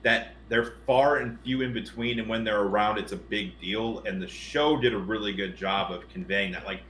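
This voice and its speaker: A man co-hosting a podcast, chatting casually about films and games in a rambling, animated, opinionated way with some swearing. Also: on the low side, normal speed. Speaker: podcast co-host. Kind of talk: casual film and game discussion. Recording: roomy echo with background noise.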